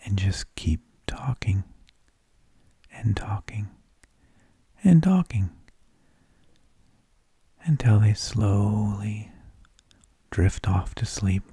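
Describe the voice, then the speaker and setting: A man hosting ASMR, speaking in a husky, breathy whisper with halting phrases and long pauses. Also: on the low side, dark tone, no room sound. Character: mild, tedious energy vampire who lulls people to sleep. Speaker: ASMR host.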